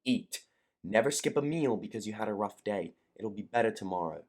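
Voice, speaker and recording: Actor as male head chef, lowish pitch, gruff, brisk orders, grudging care, audio drama. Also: lively intonation. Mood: stern, caring. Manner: brisk, commanding.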